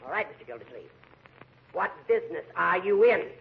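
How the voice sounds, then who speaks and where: An actor with an American accent playing a crotchety, imperious judge in a 1940s radio comedy. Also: male voice, sits high, sing-song, brisk, vocal fry, exaggerated voice. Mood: stern. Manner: imperious, scolding, sarcastic. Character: crotchety, easily offended male judge ruling his courtroom. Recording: muffled, noisy 1940s radio recording.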